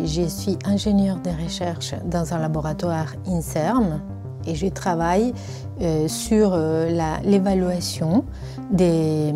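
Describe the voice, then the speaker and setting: A middle-aged woman from Argentina, a research engineer telling her story in a documentary interview, hesitant with many fillers. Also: fairly low pitch. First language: Spanish.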